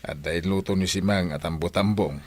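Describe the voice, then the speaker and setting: A man's voice: sits low, fairly quick. An actor playing a hearty, inquisitive older father in a village radio drama, speaking in an avuncular, exclamatory way.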